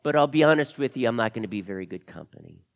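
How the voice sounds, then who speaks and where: A man's voice: mid-range voice, expressive, fast talker, gravelly, in a large hall, voice pushed down. A male storyteller playing a sad, heartbroken orphan girl in a tale, confiding in a subdued, hesitant way. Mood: glum.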